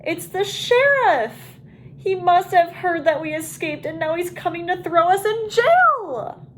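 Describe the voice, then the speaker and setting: A woman voicing a nervous, panicky turkey in a children's storybook, fretful and given to shouting. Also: extremely high pitch, sing-song, unhurried, slight background noise, pitched above the performer's own voice, full character voice. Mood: panicked. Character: nervous, panicky turkey afraid of being eaten.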